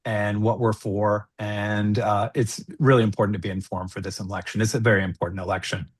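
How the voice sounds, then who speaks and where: Man, middle-aged, earnest, measured, video call audio. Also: lowish voice, flat delivery, slightly rough. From United States. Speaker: school board candidate. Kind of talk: forum candidate statement.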